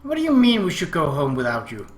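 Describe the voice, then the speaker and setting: A man's voice: fairly high pitch, huge pitch swings, fast, voice pushed up. A man voicing a furious, possessive teenage little sister from a visual novel. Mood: indignant. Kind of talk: visual novel read-aloud character voice. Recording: roomy echo, faint background noise.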